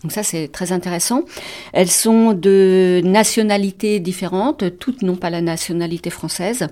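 A woman speaking as a festival organizer in a radio interview, measured and using fillers. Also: on the low side, warm tone.

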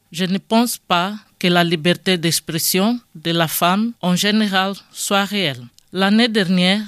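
A woman's voice: on the low side, dry recording. Woman, close dry sound. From Angola. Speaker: speaker giving a testimony. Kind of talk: scripted testimony read aloud.

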